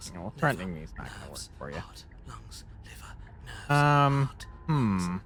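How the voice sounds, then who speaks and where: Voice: low-pitched, sing-song, slow, a bit bright. A video game streamer doing casual, wry let's play commentary, reading choices aloud and humming while deciding.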